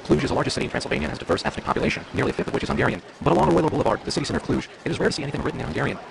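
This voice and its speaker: Fast gravelly male news reporter. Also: mid-range voice, big pitch swings, noisy background. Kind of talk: scripted news narration.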